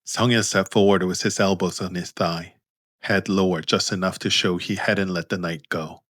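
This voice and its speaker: Very deep-voiced man narrating an audiobook. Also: fairly even intonation, moderate pace, a bit bright, no room sound, studio quality. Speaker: narrator, author reading own story.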